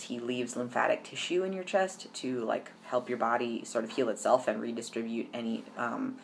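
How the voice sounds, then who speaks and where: A man's voice: mid-range voice, vocal fry, slight background noise. A young man chatting casually to camera in a personal vlog, rambling with lots of ums and restarts. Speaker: vlogger.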